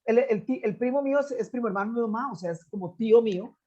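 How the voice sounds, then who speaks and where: Reflective Costa Rican woman, mellow tone. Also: a little high, fast talker, muffled recording. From Costa Rica. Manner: reflective, frequent restarts and filler "este". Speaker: interview guest, anthropologist and poet. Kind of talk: conversational storytelling.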